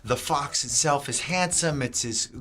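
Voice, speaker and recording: A middle-aged man speaking as a guest in a played clip, hesitant and halting, often restarting his sentences. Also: medium pitch, bright, forceful tone.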